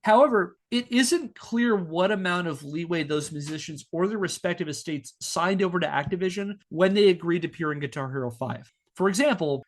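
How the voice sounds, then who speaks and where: Guy with a pretty high voice hosting a podcast, reading his script w/ wry little asides. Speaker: podcast host. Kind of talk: scripted narration with casual asides.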